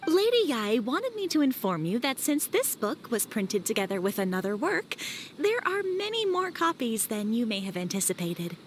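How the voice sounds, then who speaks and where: A woman playing a polite, dutiful employee in a video game, courteous and businesslike. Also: fairly high pitch.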